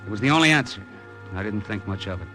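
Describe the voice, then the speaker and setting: An actor playing a cynical American gambler in a vintage radio drama, wry and slangy, with an American accent. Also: male voice, fairly low pitch, lively intonation, fast, over loud music, natural voice, low-quality recording. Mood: hard-boiled narration. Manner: wry, slangy, hard-boiled. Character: cynical, broke American gambler abroad, middle-aged. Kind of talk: 1950s radio drama acting and noir narration.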